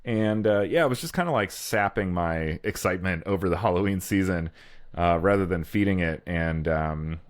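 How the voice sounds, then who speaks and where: Low voiced guy just chatting on a podcast, loose and sweary, really dry sound. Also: moderate pace, dry recording. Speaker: podcast co-host. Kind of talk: casual chat about horror movies.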